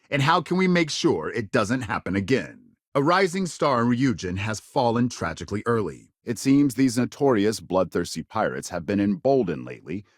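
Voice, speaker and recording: A man's voice: fairly low pitch, dry recording, clean studio sound. Emphatic sci-fi male news anchor. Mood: earnest, emphatic. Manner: measured, emphatic newsreader delivery. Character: polished, earnest male sci-fi news reporter. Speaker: news anchor in a video game. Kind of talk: scripted news broadcast reading.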